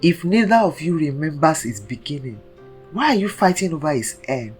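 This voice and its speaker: A woman's voice: deep voice, very animated, moderate pace, darkish tone, faint music behind. A woman voicing a mischievous male spider trickster from a folktale, grudging and wry, in a dramatic, muttering manner.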